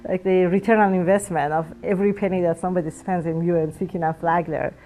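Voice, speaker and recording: Woman, native Farsi speaker, low voice. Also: steady tone, fast, mellow, a little hiss or hum, low-quality recording. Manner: earnest, searching for words. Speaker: alumna guest. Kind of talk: interview answers.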